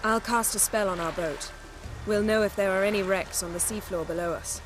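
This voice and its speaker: An actress playing a proud, dryly teasing sorceress in a fantasy video game, poised and imperious with formal phrasing. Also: female voice, medium pitch, brisk, loud music behind the voice, close to an everyday voice. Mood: brisk, commanding. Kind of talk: video game cutscene dialogue.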